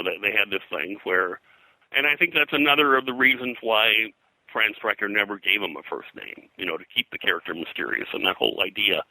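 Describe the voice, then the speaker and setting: Older male interview guest, low forceful voice, rambling anecdotal historian. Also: no echo, low-quality recording. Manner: rambling, digressive, hesitant.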